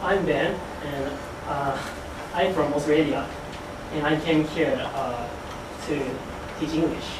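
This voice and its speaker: A man's voice: a little high, slow pace. A young man reciting his part in a rehearsed classroom role play. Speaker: student presenter in role play. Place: indoor room, recorded at a distance. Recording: very echoey room, distant mic, noisy.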